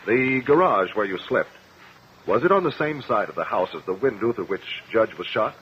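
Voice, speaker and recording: An actor playing a firm, formal lawyer, probing and commanding, in a 1950s radio courtroom drama. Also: male voice, on the low side, animated, moderate pace, slight background noise, natural voice. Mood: pressing. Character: firm, probing lawyer cross-examining a witness. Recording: muffled 1950 radio broadcast.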